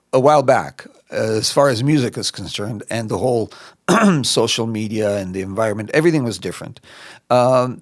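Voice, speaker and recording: A man's voice: mid-range voice, no room sound, studio quality. A middle-aged man from Lebanon, a musician guest on a podcast, answering in a reflective way with many fillers and restarts. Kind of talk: relaxed interview answers. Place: podcast studio.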